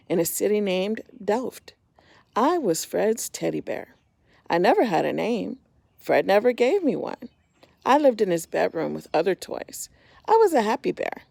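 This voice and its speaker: Woman reading a kids picture book real slow, voice goes up and down a lot, bit gravelly, dry sound. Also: medium pitch, no echo. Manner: expressive, pointing out pictures. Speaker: homeschool story reader.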